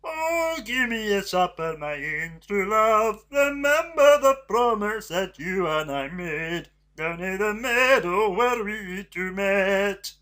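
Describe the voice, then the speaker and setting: A woman voicing a small male frog who coaxes a girl with sweet, high, sing-song words. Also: expressive, moderate pace, a bit bright, close to an everyday voice. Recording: faint guitar music behind.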